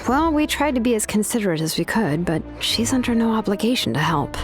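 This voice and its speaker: Casting: frank, laid-back young fantasy game heroine voiced by an actress, conversational, lively pitch movement, music underneath. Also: female voice, normal speed, close to an everyday voice. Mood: easygoing, frank. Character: easygoing, frank young woman of a fantasy tribe. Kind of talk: video game voice acting.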